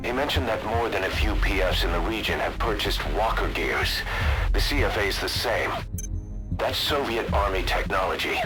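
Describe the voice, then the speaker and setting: An actor playing a gruff, matter-of-fact military second-in-command giving a briefing to his boss in a video game. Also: low voice, natural voice, low-quality recording. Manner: brisk, hard-boiled, matter-of-fact.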